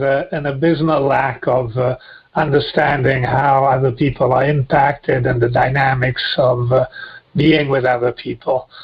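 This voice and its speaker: A man in his sixties from Rome, with an Italian accent, giving reflective interview answers in halting, deliberate phrases. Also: medium pitch, lo-fi sound. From Rome, Italy. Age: 60s. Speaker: guest.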